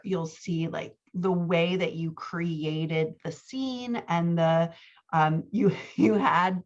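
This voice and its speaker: Dull-sounding recording, low-pitched encouraging woman. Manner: encouraging, affirming. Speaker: writing coach and podcast host. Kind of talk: casual conversational feedback.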